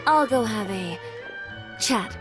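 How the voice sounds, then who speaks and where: Assertive, sharp-tongued boutique owner. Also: female voice, fairly high pitch, expressive, slow. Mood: cool, assured. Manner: cool, assertive, sardonic. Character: confident, sharp-tongued, fiery-tempered female boutique owner. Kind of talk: video game character voice acting. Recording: game music clearly behind the voice. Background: game music.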